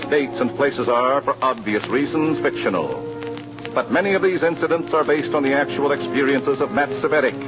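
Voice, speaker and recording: An American man announcing a 1950s radio drama in a portentous, measured, dramatic style. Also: medium pitch. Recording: muffled old radio recording, music behind.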